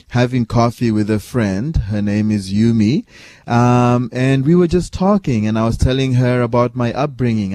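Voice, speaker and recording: A man, telling his life story in a measured, earnest way. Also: mid-range voice, dark tone, dry recording. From Malawi. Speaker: peace organization vice president. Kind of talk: personal storytelling talk.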